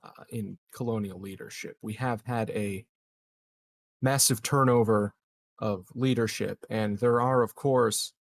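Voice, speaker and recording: A man playing an evasive, scheming leader of a space colony in a tabletop roleplay, speaking in a hesitant, hedging, measured way. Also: lowish voice, fairly even intonation, vocal fry, slight background noise. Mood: guarded, persuasive. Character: smooth, evasive, scheming male colony leader in science fiction.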